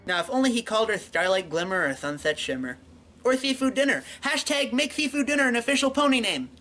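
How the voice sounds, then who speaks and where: A man with a high voice hosts a scripted fan fiction review. He narrates like an online reviewer and drops in wry, joking asides. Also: expressive, fast talker, bright, forceful tone, faint music behind.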